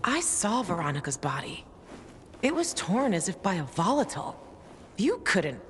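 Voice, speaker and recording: A woman's voice: medium pitch, sing-song, moderate pace, noisy background, natural voice. An actress playing a tough, sarcastic young woman survivor in a video game, dry in banter and frantic when calling out. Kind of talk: video game cutscene acting. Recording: game audio with loud ambience.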